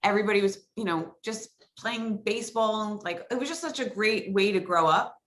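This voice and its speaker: An American woman in her forties from Long Island talks as a podcast guest. Her voice is bright and of average pitch, and her delivery is casual, chatty and rambling, full of conversational asides. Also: normal speed. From Long Island, New York, USA. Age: 40s. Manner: chatty, rambling, peppered with "like" and "you know". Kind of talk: casual chat.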